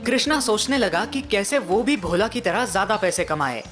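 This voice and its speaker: A woman's voice: medium pitch, lively intonation, moderate pace, music in the background. A woman giving scripted narration of a children's moral story.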